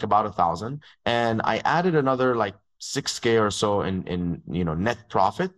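Low-voiced young man, chatty and quick. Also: rough recording. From born in Egypt, grew up in Dubai. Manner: fast, filler-heavy talk with many "like"s, acts out dialogue. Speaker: agency founder, guest. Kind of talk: casual storytelling interview.

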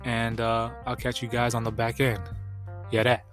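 A man's voice: medium pitch, monotone, moderate pace, a bit bright, soft background music. A congested man hosting a podcast in casual, slangy, conversational talk. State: congested from allergies. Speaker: podcast host.

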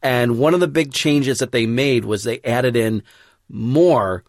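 A man's voice: medium pitch, unhurried, dry recording, clean studio sound. A man hosting a podcast, giving a casual introduction. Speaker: podcast host and producer.

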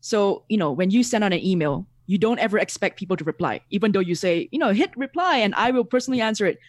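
Singaporean guy in his 30s, animated podcast guest, laughs a lot, dry close sound on a video call. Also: high voice, quick pace, no echo. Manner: animated, laughs often, repeats words. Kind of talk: casual interview chat.

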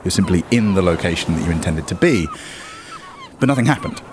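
A man works as dungeon master, swinging freely up and down in pitch as he narrates and banters. He is jokey and quick to laugh. A faint noise sits behind his voice. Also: very animated. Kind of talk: unscripted tabletop game narration and banter.

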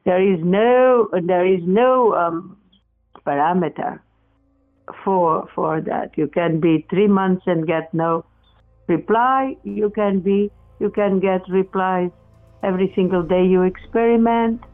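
Interviewee, slow and halting, frequent restarts, tinny phone-like sound, faint music underneath. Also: medium pitch. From Portugal. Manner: halting, many restarts and self-corrections. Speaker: experimenter in spirit communication. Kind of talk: interview answers.

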